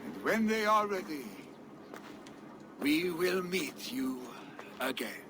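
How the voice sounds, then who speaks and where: An actor playing a grieving young Norse chieftain's son who gives a slow funeral speech. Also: male voice, a little high, very animated, noisy background. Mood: solemn. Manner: solemn funeral speech, then heavy and drunk. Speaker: new jarl, son of the dead jarl.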